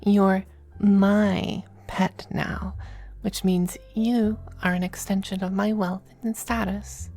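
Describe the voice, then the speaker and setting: Woman as a slow, creaky, suave demon femboy. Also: medium pitch, a little mellow. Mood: possessive, fond. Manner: genteel, languid, commanding endearments. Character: suave possessive high-ranking demon femboy, affectionate and teasing. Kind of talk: ASMR roleplay.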